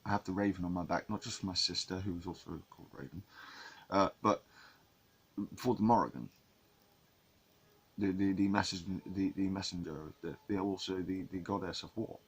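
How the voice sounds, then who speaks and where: A man's voice: low voice, steady tone, normal speed, long pauses, breathy edge. A man from England talking in a casual monologue to camera, rambling with many restarts and repeated words. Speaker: vlogger.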